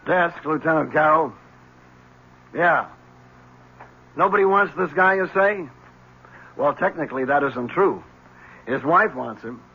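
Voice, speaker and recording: An actor playing a dry, sardonic American police desk lieutenant in a radio drama, wry and unhurried. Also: male voice, on the high side, expressive, husky, heavily acted. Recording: muffled old radio, faint noise.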